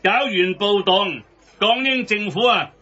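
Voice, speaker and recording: A man's voice: sits high, lively intonation, unhurried, pushed and bright, exaggerated voice. An actor with a Hong Kong Cantonese accent playing an exasperated, outspoken man scolding about politics in a satirical radio drama. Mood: critical. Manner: theatrical, scolding. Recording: slightly dull radio broadcast.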